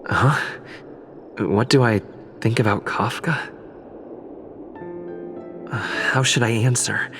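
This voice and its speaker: A man's voice: mid-range voice, takes it slowly, long pauses. A man voicing a hesitant, pragmatic young video game protagonist who muses to himself. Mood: puzzled, deliberating. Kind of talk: video game inner monologue. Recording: music bed behind the voice.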